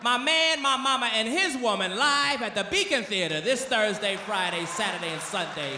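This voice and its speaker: A man's voice: high-pitched, expressive, normal speed, a crowd in the background. An American man voicing a mock-dramatic advertisement for a gospel stage play, as part of a comedy act. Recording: echoey theater PA, audience noise.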